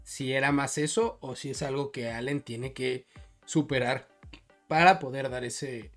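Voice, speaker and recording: A man's voice: medium pitch, steady tone, measured pace. A man with a mid-range voice co-hosts a casual football podcast. He hesitates and circles back over words while working through his point, with faint music underneath. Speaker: sports podcast co-host.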